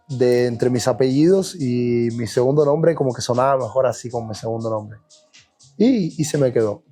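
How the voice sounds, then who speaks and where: A man from Cuba with a Cuban Spanish accent, a dancer recounting his childhood in a relaxed, reflective podcast interview, with frequent fillers and restarts. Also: mid-range voice. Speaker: guest dancer. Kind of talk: podcast interview, personal storytelling.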